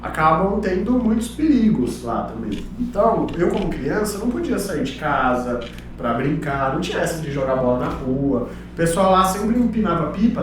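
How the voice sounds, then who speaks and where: A man, a relaxed and joking guest telling stories in a casual interview, recorded in an echoey room with the mic far off. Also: a little high, background noise. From São Paulo, Brazil. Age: 30s. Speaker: guest speaker, communication coach.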